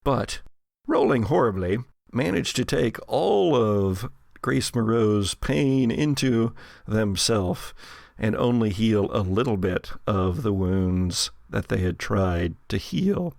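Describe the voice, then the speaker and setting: A man hosting a tabletop game podcast as game master, mixing dramatic, deliberate narration with casual, dryly humorous chat. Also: on the low side, expressive, vocal fry, a little mellow, no echo, studio quality. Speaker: host and game master of an actual play podcast.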